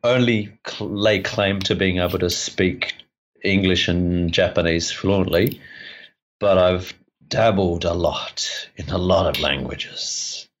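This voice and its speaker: A man's voice: low-pitched, slow pace, lo-fi sound. A man with an Australian accent, a guest rambling through stories in casual podcast chat and laughing often.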